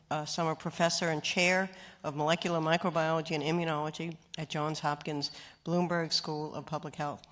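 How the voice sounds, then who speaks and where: Woman hosting a science session, really low voice, echoey hall. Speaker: session moderator. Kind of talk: formal introduction. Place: lecture hall. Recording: reverberant lecture hall.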